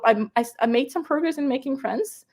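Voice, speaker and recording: A young woman with a Ukrainian accent, her voice sitting a little high. She talks in a loose, animated way, full of fillers, restarts and quick laughs. She is a guest recounting her own story in an interview.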